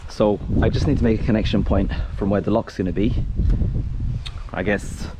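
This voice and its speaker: A man's voice: fairly low pitch, fairly even intonation, moderate pace, noisy background. A man hosting a DIY video, talking casually and thinking aloud as he walks through a hands-on installation outdoors. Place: outdoors by a concrete wall.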